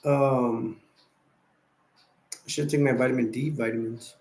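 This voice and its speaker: A tired, sleepy man hosting a casual late-night live stream, rambling and giving viewers shout-outs. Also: medium pitch, steady tone, unhurried, creaky. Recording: echoey room.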